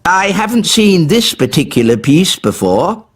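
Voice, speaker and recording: A British man voicing a proud, fussy old elf in a children's cartoon, with a husky voice and a dry, haughty manner. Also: sits high, sing-song, measured pace, heavily acted. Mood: defensive. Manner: haughty, dryly sarcastic.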